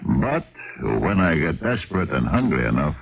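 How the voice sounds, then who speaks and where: Desperate knife-wielding thug, very deep gravelly voice, slow and gloating, old radio drama. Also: male voice, very animated, noisy background, heavily acted. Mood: menacing. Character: menacing, desperate knife-wielding Russian criminal man. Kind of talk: 1970s radio drama acting. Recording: muffled-era 1970s radio broadcast recording.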